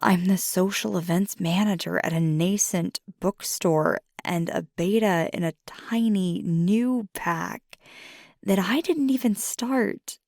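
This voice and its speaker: A woman playing a young, earnest werewolf girlfriend in an intimate ASMR roleplay, soft-spoken and hesitant, with a husky, breathy voice. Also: on the low side, slow pace, dry recording, studio quality. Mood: hesitant, confiding. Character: fiery young female werewolf girlfriend, earnest, ambitious, vulnerable.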